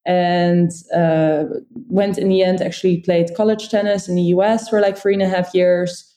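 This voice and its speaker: Dutch-accented woman, low and mellow. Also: level tone, moderate pace. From Netherlands. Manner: many "uh" fillers, laughs lightly. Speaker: guest, company co-founder. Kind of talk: casual interview answers.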